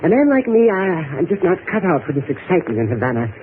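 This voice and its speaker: High-voiced, fawning, nervous little fellow. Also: male voice, sing-song, fast. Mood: nervous, wistful. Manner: stammering, effusive, chuckling. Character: nervous, fawning, giggly homebody passenger. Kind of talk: old-time radio drama acting. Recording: tinny noisy old radio recording.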